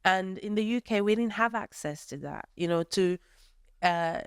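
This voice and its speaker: A woman's voice: mid-range voice, normal speed, smooth, dry recording, clean studio sound. A woman from Nigeria who is an artist, answering a workshop question in a thoughtful way with many fillers. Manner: thoughtful, many fillers, acts out friends' voices.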